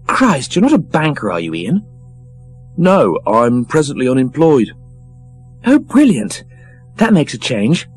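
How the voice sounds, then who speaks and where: A man's voice: medium pitch, huge pitch swings, measured pace, pauses often, breathy edge, no echo, soft background music, pitched above the performer's own voice, exaggerated voice. A man reading a story, voicing a brash, mocking young woman who swears freely and gushes over men. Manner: scornful, gushing, swearing freely.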